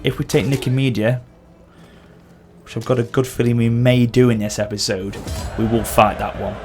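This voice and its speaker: A man's voice: mid-range voice, little pitch movement, normal speed, creaky, darkish tone, faint music behind. A man with a sore throat and occasional cough, casually commenting as he plays a strategy game. He thinks aloud and corrects himself as he goes. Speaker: gameplay channel host.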